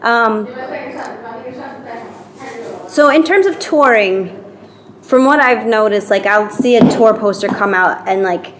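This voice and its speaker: A woman interviewing a band, asking quick friendly questions and backing them up with short replies. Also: mid-range voice, reverberant. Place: noisy music venue. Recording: crowd noise behind.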